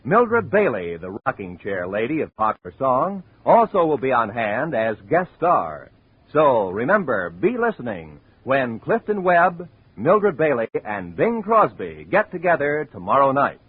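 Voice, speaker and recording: A man's voice: mid-range voice, very animated, unhurried, breathy edge, dry recording. A man with an American accent announcing in the polished, formal scripted style of 1950s network radio. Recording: muffled narrow-band old radio recording.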